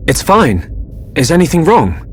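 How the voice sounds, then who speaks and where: An actor playing a thoughtful, sincere young hero in a video game, slightly hesitant. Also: male voice, a little high, sing-song, a little slow, slight background noise. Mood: earnest. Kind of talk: video game cutscene acting.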